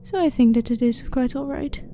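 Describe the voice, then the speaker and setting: A woman playing a blunt, clinical but harmless alien scientist in an ASMR sci-fi roleplay, speaking in a formal, measured, matter-of-fact way. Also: high voice, warm tone, natural voice. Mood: calm, polite. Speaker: ASMR voice performer. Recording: clearly noisy ambient background.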